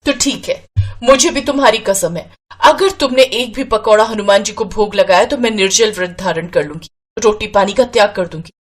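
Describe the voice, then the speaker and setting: A woman's voice: medium pitch, moderate pace, natural voice. A woman voicing a stubborn, exasperated wife who scolds and complains in a moral story. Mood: angry, threatening. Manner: sharp complaining. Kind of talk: Hindi moral story acting.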